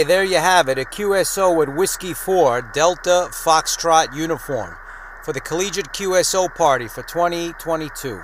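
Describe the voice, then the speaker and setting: A man's voice: fairly high pitch, fairly bright tone, a little hiss or hum, low-quality recording. A man from New York narrating a casual hobby vlog as an amateur radio operator. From New York, United States.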